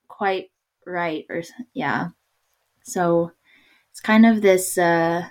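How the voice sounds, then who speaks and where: A woman talking in a relaxed conversation, hesitating and restarting often, with little laughs between thoughts. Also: fairly low pitch. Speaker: podcast guest. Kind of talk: casual conversation.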